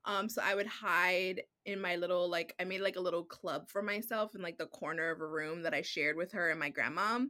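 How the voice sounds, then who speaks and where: A woman guest whose first language is Spanish, telling her story in a relaxed, chatty way. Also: on the low side, fairly quick, hardly any pauses. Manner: relaxed, chatty, heavy use of "like" and "um". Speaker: children's book author, guest. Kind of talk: casual podcast conversation.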